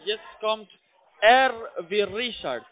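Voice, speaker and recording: A man's voice: high-pitched, takes it slowly, dry recording. A man with a non-native, foreign accent in German, working as a call center IT agent, giving step-by-step instructions and repeatedly checking that he is followed. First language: not German. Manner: repetitive, keeps checking with tag questions. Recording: tinny narrowband phone line.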